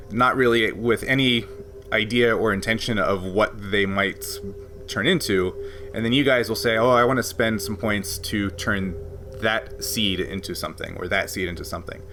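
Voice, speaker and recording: A man's voice: on the low side, bright, forceful tone, a little hiss or hum. A man hosting a tabletop game podcast, explaining a rules system at length in a casual way, with frequent laughs. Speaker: game master and podcast host.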